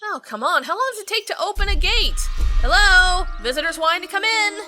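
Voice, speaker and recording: A woman's voice: very high voice, expressive, fairly quick, bright, forceful tone, soft background music, full character voice. A woman playing an eager, impatient young girl adventurer with a chirpy, emphatic delivery in an audio drama.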